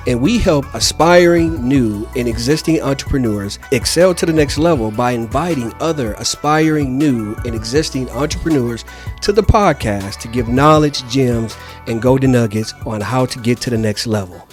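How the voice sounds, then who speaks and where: A man hosting a podcast with a hoarse voice, giving an upbeat, rambling introduction. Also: mid-range voice, expressive, moderate pace, faint music behind. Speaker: podcast host.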